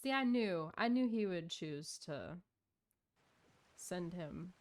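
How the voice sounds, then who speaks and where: A woman's voice: lowish voice, expressive, slow. Slangy woman streaming a game. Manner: slangy, opinionated. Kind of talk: casual live gameplay commentary.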